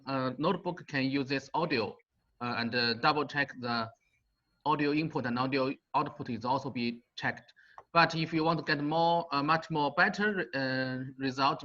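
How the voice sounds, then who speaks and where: A man on a video call explaining a setup, halting with many fillers. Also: mid-range voice, pushed and bright. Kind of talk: online class discussion. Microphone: computer mic on video call. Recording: dull lo-fi call audio.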